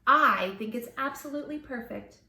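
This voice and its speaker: A woman voicing a kindly, dreamy and gently proud music teacher in a children's storybook, deliberate and emphatic. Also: a little high. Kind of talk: children's storybook read aloud. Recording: echoey room, distant mic.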